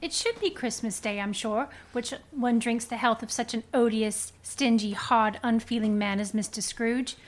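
A woman playing a sharp-tongued, indignant wife and mother in a live radio play reading. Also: fairly high pitch, moderate pace, hardly any pauses, close to an everyday voice.

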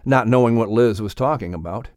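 A man's voice: mid-range voice, a little fast, a little mellow, no echo, studio quality. A man narrating a children's audiobook from a script.

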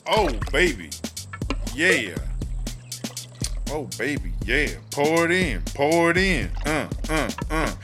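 A man's voice: a little high, sing-song, takes it slowly, long pauses, music in the background. A man playing a swaggering, sassy female American inmate in a comedy audio drama, drawling and boastful. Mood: delighted. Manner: drawling, boastful, third-person self-references.

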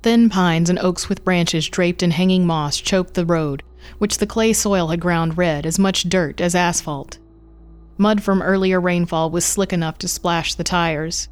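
Lower-pitched woman narrating horror fiction. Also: clear, resonant tone.

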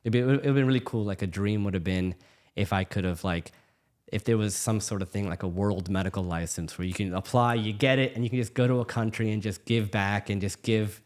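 A middle-aged man from the United States, a physician guest telling stories in a casual interview, quick and full of restarts. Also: on the low side. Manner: fast, animated storytelling with many restarts.

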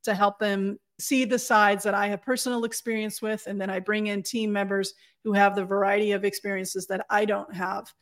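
A woman speaking as a podcast guest, giving earnest, articulate, measured interview answers. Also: mid-range voice, flat delivery. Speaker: communications consultant, podcast guest.

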